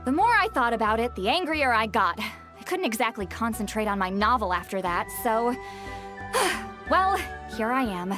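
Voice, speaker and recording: Huffy, high-pitched bookish game heroine. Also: female voice, very animated, normal speed. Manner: huffy, dramatic, opinionated. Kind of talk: video game character acting. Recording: background game music.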